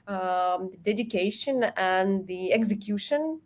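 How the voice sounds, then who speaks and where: A woman with a Ukrainian accent answering interview questions in English, measured and thoughtful, with frequent ums. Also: medium pitch. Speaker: guest.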